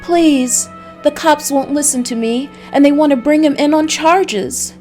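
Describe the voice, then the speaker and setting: A woman performs an anxious young woman in a noir mystery game. Her voice sits high above a faint music bed. She pleads haltingly and repeats herself, as if begging a detective for help. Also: mellow. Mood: desperate.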